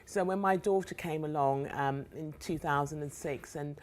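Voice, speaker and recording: A middle-aged woman from South London chats as a guest author, with a London accent. Her voice is a touch low for a woman. She speaks with enthusiasm, doubling up words to stress her points. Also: moderate pace. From South London, England. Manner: enthusiastic, repeats words for emphasis. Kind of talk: casual interview chat.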